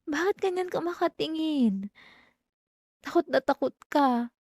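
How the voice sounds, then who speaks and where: A woman's voice: high-pitched, expressive, unhurried, a little mellow, dry recording. A young woman with a Filipino accent voicing an obsessive, possessive female classmate in an intimate, dramatic ASMR roleplay, addressing the listener up close. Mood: sulky, pleading. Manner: intimate, pleading turning coldly threatening, dramatic. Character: obsessive yandere female classmate, clingy, wounded, menacingly possessive. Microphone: close microphone, dry sound.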